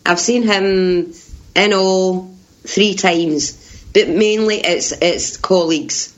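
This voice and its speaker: A woman's voice: medium pitch, slow pace. Big-voiced woman, lo-fi sound. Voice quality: big, strong voice. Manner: lively, emphatic storyteller who laughs often. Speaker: guest telling her story. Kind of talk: casual storytelling in conversation.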